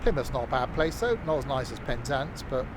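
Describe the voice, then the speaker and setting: Man, casual stream chat, rambly, lots of fillers, mid-pitched voice, noisy game audio behind. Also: brisk. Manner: relaxed, rambling, many fillers, chats with viewers. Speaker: streamer playing a train simulator.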